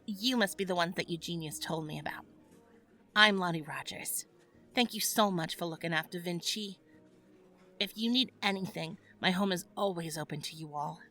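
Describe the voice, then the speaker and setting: Sharp-voiced, bossy creature wife. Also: female voice, fairly low pitch, soft background music. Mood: gracious. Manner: brisk, commanding. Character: stern, bossy yet gracious nocturnal creature wife. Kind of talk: audio drama acting.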